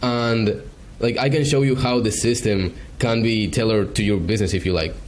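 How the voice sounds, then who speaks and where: A man's voice: lowish voice, fairly even intonation, moderate pace, slight background noise. Hesitant Argentine man on a video call. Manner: hesitant, filler-heavy, casual. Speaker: beginner agency salesman.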